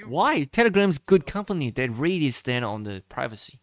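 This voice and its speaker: A man's voice: mid-range voice, very animated, normal speed, dry recording. A man who speaks English as a second language, chatting with strangers and asking repeated, stammering questions. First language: not English. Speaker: streamer. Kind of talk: casual voice chat. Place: online voice chat in a social VR game.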